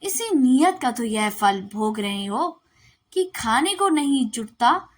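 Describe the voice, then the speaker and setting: A woman's voice: a little high, very animated, slow pace, full character voice. A woman voicing a stern, grasping male village priest turned moneylender, accusing and unyielding, in a storybook reading. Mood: scornful.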